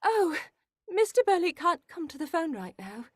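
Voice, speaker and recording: A woman's voice: very high voice, big pitch swings, normal speed, no echo, studio quality. A woman playing a fretful, deferential household servant, theatrical, in an old-time radio horror play. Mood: bustling.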